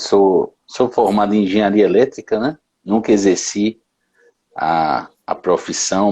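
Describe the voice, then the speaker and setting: Husky-voiced man in his thirties. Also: on the low side. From Bahia, Brazil. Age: 30s. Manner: relaxed, frequent tag questions. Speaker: interview guest. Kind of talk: casual interview answers.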